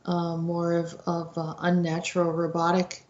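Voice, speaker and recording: A woman host giving informal commentary in a halting way, searching for words, on a slightly dull recording. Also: fairly low pitch, monotone, darkish tone.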